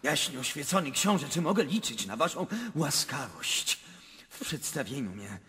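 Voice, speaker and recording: An actor playing an obsequious, sly court petitioner in a radio drama, ceremonious and fawning, in a hoarse, breathy voice. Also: male voice, medium pitch, very animated, normal speed, pushed and bright, heavily acted. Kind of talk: theatrical radio drama acting. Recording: long hall-like reverb.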